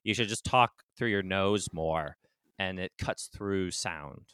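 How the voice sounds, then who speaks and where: A man's voice: low-pitched, slow, fairly bright tone, clear, resonant tone, dry recording, studio quality. A man with a nasal voice hosting a casual podcast chat, wry and joking as he steers the talk. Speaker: podcast host.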